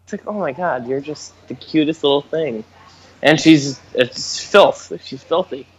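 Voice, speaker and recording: A man co-hosting a podcast, talking in an excitable, stammering way with lots of swearing. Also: a little high, unhurried, breathy edge, rough recording. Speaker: podcast co-host. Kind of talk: casual chat.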